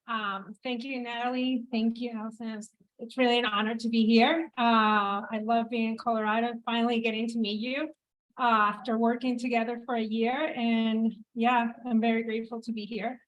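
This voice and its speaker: Higher-voiced woman from Venezuela. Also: flat delivery, normal speed, lo-fi sound. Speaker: panelist, founder. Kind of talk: panel discussion. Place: event space with a live audience.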